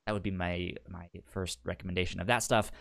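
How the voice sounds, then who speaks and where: Low-voiced man who stammers often. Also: fairly even intonation, brisk, creaky, a bit bright. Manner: stammers, repeating sounds. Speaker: podcast co-host. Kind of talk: casual chat.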